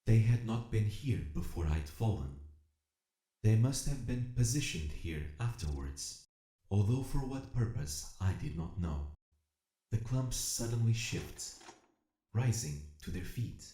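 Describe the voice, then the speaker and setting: A man's voice: on the low side, lively intonation, unhurried, warm tone, roomy sound. A man playing an earnest, determined, calculating combat robot, narrating an audio drama in the first person with formal, precise diction. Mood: cool calculation. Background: music.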